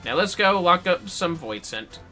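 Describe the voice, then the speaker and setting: Brief: livestream gamer with an androgynous mid-range voice, casual, playful and sweary, clear music bed behind. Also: mid-range voice, expressive, a little slow, pushed and bright. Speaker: game livestreamer. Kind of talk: casual gameplay commentary with chat replies. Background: game music.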